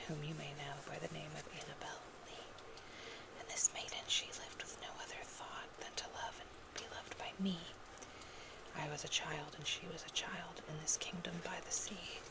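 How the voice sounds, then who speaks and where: Whispering woman reading poetry, noisy ambience. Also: low-pitched. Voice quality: breathy whisper. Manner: slow, gentle, intimate delivery. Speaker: ASMR roleplay host reading love poems. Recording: loud ambient noise, crackling fireplace ASMR sounds. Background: fireplace ambience, scratching and tapping.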